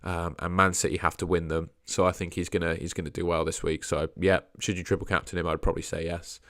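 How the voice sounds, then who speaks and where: Young male streamer, a bit hoarse from a sore throat, low voice, hedges a lot and drops off quietly at line ends. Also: level tone, quick pace, no room sound, clean studio sound. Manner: hedging, trails off quietly at phrase ends. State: sore throat, losing his voice. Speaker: fantasy football livestream host. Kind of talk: casual live stream chat answering viewers.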